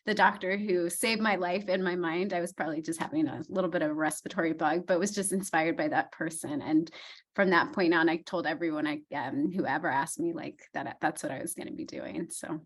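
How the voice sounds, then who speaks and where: A woman's voice: sits low, steady tone, brisk. A woman in her thirties, an obstetrician, hosting an online panel in a casual, encouraging way with frequent ums.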